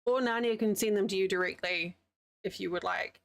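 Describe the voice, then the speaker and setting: A woman's voice: mid-range voice, fairly even intonation, brisk, gravelly, no echo. A woman from New Zealand with a New Zealand accent, chatting casually with her live chat while she crochets, rambling and laughing often. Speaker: streamer. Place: home, living in the United States.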